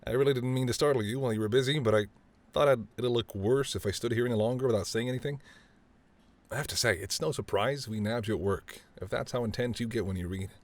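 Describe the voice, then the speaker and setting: A man's voice: mid-range voice, fast, darkish tone, close to an everyday voice. A man playing a charming, teasing mafia boss who is smitten, speaking intimately and playfully to the listener in a roleplay audio drama. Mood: apologetic, chatty. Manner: smooth-talking, playful, flirtatious, addresses listener as doll. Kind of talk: intimate second-person roleplay audio acting.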